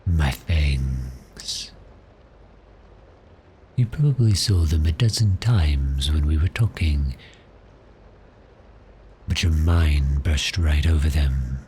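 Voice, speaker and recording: Man acting as courteous, menacing vampire for ASMR roleplay: extremely low pitch, very slow delivery. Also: slight background noise. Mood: calm, quietly gloating. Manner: courteous, unhurried, deliberate, softly menacing. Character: polite, cheerful, menacing male vampire toying with prey.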